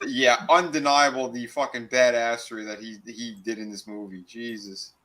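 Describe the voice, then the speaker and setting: A man's voice: mid-range voice, fairly even intonation, normal speed, pushed and bright, low-quality recording. A man joining a casual group discussion over a video call, emphatic and freely profane. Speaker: podcast guest.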